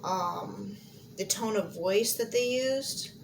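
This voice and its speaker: American woman, candid, over clear background noise. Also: medium pitch, slow. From Montana, United States. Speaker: interviewee. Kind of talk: casual interview answers. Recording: clear background noise, amateur audio log.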